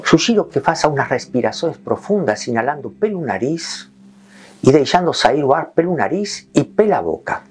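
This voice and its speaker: A man leading a guided meditation in calm, gentle short phrases with long pauses. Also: on the high side.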